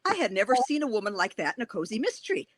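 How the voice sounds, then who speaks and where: A woman's voice: on the high side, very animated, rapid-fire, no echo. A woman in her sixties from Wisconsin, with a Wisconsin accent, chatting enthusiastically as an author guest at a bookstore talk. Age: 60s. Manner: chatty, enthusiastic, laughs often.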